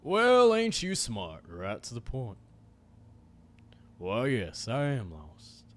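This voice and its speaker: A man voicing a menacing male cowboy villain who taunts in a slow drawl. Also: mid-range voice, huge pitch swings. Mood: taunting.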